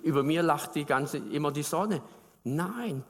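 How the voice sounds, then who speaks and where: A man's voice: on the high side, animated, a little slow, long reverb tail. A man from Baden in Germany preaching a sermon, talking conversationally and putting questions to the congregation.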